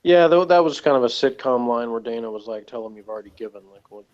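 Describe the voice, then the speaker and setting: A man in relaxed discussion of television, speaking at a middling pitch. He rambles and halts as he works through his thoughts. The recording is muffled and lo-fi. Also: fast talker. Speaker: podcast co-host. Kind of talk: casual TV episode discussion. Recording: dull lo-fi voice recording.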